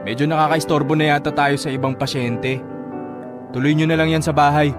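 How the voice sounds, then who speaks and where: An actor playing a broke, evasive younger brother with a Filipino accent, casual and full of excuses in a radio drama. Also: male voice, a little high, level tone, fairly quick, music in the background, natural voice. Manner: casual, shrugging, excuse-making. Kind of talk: Tagalog radio drama acting.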